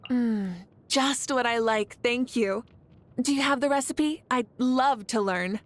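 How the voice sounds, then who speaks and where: Mavuika, poised higher-voiced fire-warrior leader. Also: female voice, slow pace. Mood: pleased. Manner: poised, earnest, assured. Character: Mavuika, confident warm warrior archon of fire, woman leader. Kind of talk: video game character voice lines.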